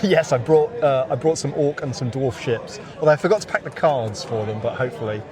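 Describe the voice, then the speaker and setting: A man with an average-pitched voice gives a relaxed, informal interview as a game designer. He is recorded outdoors in a courtyard, with plenty of people chattering behind him. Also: a little fast. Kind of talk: casual chat. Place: outdoors in a courtyard at a gaming event. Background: crowd chatter.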